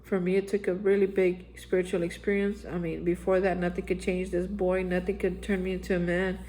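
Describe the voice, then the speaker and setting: A man's voice: high-pitched, flat delivery, brisk, mellow, a little hiss or hum, rough recording. Earnest man giving a recovery pep talk. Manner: earnest, exhorting, repeats phrases and says "man". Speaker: recovery vlogger. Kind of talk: daily spiritual reflection monologue. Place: Austin, Texas area.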